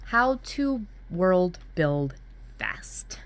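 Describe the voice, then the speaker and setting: A woman's voice: lowish voice, big pitch swings, very slow. A woman co-hosting a workshop in casual, informal chat with a blunt way of talking. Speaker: workshop co-host.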